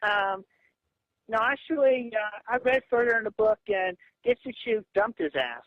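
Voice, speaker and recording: A man with a noticeably high voice speaks in a phone conversation. He argues haltingly, stammering and repeating himself. The sound is dull and dry, as heard through a lo-fi phone recording. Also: expressive, no echo. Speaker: comic creator on a phone call. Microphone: phone call. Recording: muffled lo-fi phone call audio.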